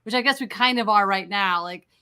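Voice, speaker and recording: A woman hosts a casual interview show. Her voice is medium in pitch with a bright, forward tone, and she talks conversationally. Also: brisk, smooth. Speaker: show host.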